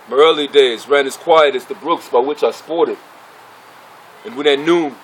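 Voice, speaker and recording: A proud, embittered gladiator chief played by a man with a notably high male voice. He speaks in formal, declamatory stage oratory, setting out his phrases with deliberate pauses as he addresses his fellow fighters. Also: fairly bright tone, a little hiss or hum, low-quality recording. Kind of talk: theatrical dramatic monologue.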